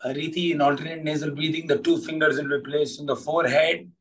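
Casting: Indian-accented man, 40s, fairly high voice, encouraging coach on a video call, slightly away from the mic. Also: fairly even intonation, quick pace, a bit bright, breathy edge. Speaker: coach leading an online class. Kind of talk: encouraging talk to students. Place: small curtained room at home. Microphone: video call, device at arm's length. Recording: distant microphone.

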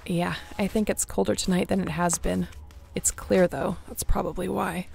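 A woman playing a young apocalypse survivor, a tender, teasing companion in an intimate audio roleplay, with a creaky voice. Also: on the low side, flat delivery, a little mellow, slight background noise. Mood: cold, tired. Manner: unhurried, intimate, playful.

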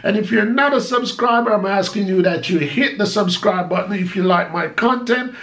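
Man, Jamaican accent, forties, high voice, chatty vlog, home office. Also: normal speed. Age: 40s. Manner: chatty, animated, gesturing with both hands. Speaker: vlogger. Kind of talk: casual talk to camera. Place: small home office indoors.

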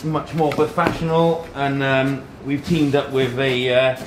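A man in his thirties hosts a casual product unboxing with a mid-pitched voice. He talks in short phrases while handling things. The room is echoey, with clear noise and clicks behind him. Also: level tone, a little slow. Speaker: host of a tech unboxing video. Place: indoor office meeting room.

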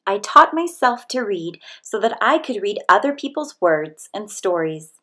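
A woman reading a children's picture book aloud as scripted narration, with expressive, deliberate storytelling delivery. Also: mid-range voice. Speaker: storybook reader.

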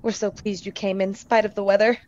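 A woman's voice: medium pitch, flat delivery, fairly quick, highs cut off, noisy background, natural voice. Gracious, fussing older mother, played by a woman. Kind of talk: radio play acting.

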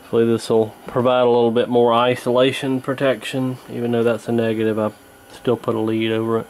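A man's voice: medium pitch, level tone, quick pace, a little mellow, low-quality recording. A man casually narrating a hands-on repair as he works, recorded on a camera at an indoor workbench. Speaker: host, radio repairer. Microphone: handheld camera near the bench. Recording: noticeable background noise from camera mic.

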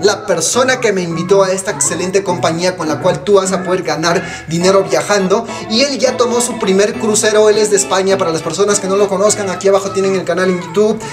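Young man pitching over music. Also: fast, hardly any pauses, far from the mic. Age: young adult. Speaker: YouTube channel host and recruiter. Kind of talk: direct-to-camera promotional pitch. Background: hip hop music.

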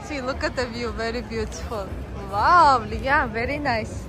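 A young woman vlogging on her phone through a busy mall and aquarium, talking excitedly to viewers and her small son. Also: on the high side, measured pace. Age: young adult. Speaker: family vlogger. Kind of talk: casual vlog narration. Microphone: phone at arm's length. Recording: loud crowd and street noise.

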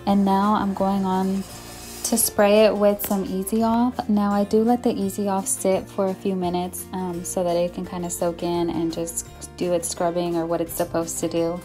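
A woman's voice: mid-range voice, level tone, normal speed, mellow, faint music behind. A young woman talking casually to her viewers while cleaning her home kitchen, reassuring and a little apologetic about the mess. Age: young adult. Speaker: home vlogger. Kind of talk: casual cleaning vlog narration.

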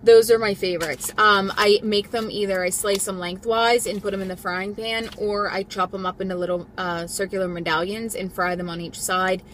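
A woman's voice: mid-range voice, fairly even intonation, moderate pace, few pauses, fairly bright tone, slight background noise. A young woman vlogging casually from her parked car on a phone camera, rambling and chatty with frequent ums. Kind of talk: casual grocery haul vlog. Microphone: phone camera on the dashboard.

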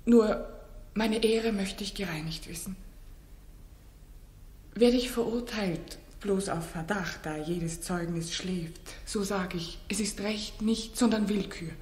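A proud queen defends herself with solemn, anguished dignity, played by an actress. The delivery is declamatory, in the manner of 1960s radio drama. Her mid-pitched female voice rings in an echoey room. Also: takes it slowly, long pauses, a little mellow, natural voice. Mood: proud, defiant.

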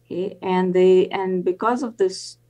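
An older woman, an academic guest, explains things on a video call in a careful, halting way with many pauses. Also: fairly low pitch, steady tone, mellow, lo-fi sound. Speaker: interview guest, professor and planetary scientist. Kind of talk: explanatory interview answers.

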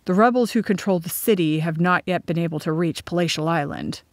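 A woman's voice: on the low side, brisk, no room sound, clean studio sound, lightly acted. A woman voicing a man who reports to a king in a storybook, formal and grave.

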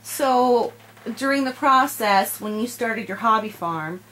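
A woman's voice: a little high, animated, takes it slowly, bright, forceful tone, a little hiss or hum, rough recording. A young woman student interviewing a channel host, summarizing his answers back and asking follow-up questions in an encouraging way, in a home library. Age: young adult. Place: home library with bookshelves and fireplace.

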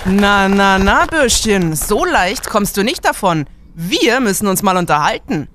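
A man's voice: high voice, big pitch swings, measured pace, sharp tone, exaggerated voice. An actor playing a brawny, blunt young man in a comic radio play. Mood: gruff. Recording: clear background noise.

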